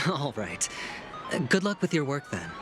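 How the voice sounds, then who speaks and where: A man playing a smooth, sardonic young corporate schemer in a video game. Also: medium pitch, very animated, unhurried. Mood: polite, sly. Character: suave young male corporate schemer under strain. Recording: loud game music behind.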